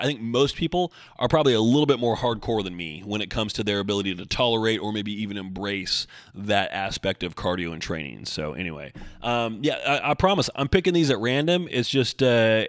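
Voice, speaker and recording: Low-voiced man, rambling podcast host. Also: no room sound, studio quality. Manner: rambling, self-correcting, dryly humorous. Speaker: podcast host and bodybuilding coach. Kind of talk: casual solo podcast answering listener questions.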